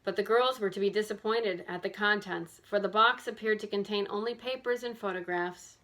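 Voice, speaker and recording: A woman's voice: medium pitch, brisk, a bit bright. A woman reading scripted narration from a children's mystery novel as an audiobook narrator.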